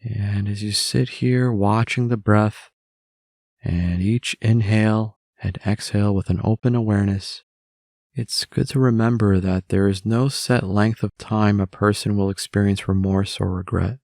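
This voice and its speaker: A man narrating a guided meditation in a measured way, with long pauses between phrases. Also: on the low side, steady tone, vocal fry, a little mellow, no room sound, studio quality.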